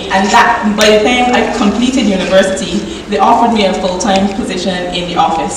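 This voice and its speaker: A woman in her twenties from Barbados with a Barbadian accent, giving a lively, chatty conference talk and addressing the audience directly. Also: mid-range voice, background noise. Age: 20s. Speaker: conference speaker. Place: large conference hall. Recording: echoey hall from far off.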